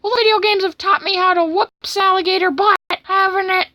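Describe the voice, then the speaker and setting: Woman doing a loud, high-pitched, menacing marshmallow man. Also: fairly even intonation, fairly quick, no room sound. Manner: threatening, taunting. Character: crazed, aggressive, hungry marshmallow man, a bullying child.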